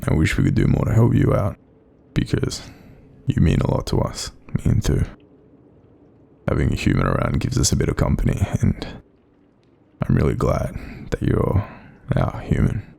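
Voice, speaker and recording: An Australian man playing a kind sleep paralysis demon in a roleplay audio, speaking gently and informally with a gravelly, breathy voice to soothe and reassure. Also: rumbling low, wildly swooping pitch, moderate pace, exaggerated voice. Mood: tender, encouraging. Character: kind, supportive male sleep paralysis demon watching over someone.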